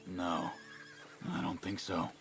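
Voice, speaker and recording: An actor playing a calm, wary outlaw scout in a western game, terse and gravelly. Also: male voice, low-pitched, takes it slowly, music in the background, exaggerated voice. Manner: understated, terse. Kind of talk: cinematic western game acting.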